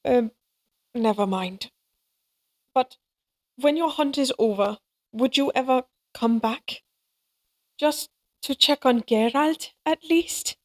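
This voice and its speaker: A woman's voice: high voice, slow, long pauses. A woman acting in an audio roleplay as an anxious, tender young mother who speaks hesitantly and wistfully. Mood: hesitant, shy.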